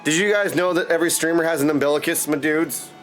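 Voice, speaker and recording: High-voiced goofy male game streamer. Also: fairly even intonation, fast, a bit bright, faint music behind. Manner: goofy, theatrical, playful with invented silly words; addresses listeners repeatedly as "my dudes" and "my friends". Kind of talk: casual livestream chat to viewers. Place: indoors at a desk in a streaming setup. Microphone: streamer microphone and headset.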